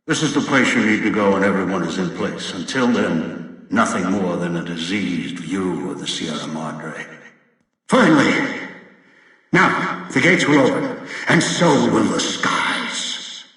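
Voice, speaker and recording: Husky, ominous old man. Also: deep voice, expressive, a bit bright, no echo, heavily acted, rough recording. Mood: commanding, impatient. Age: older. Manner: ominous, deliberate storytelling. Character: bitter, obsessive old man, ominous wasteland schemer. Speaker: video game villain and narrator. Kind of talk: dramatic video game voice acting.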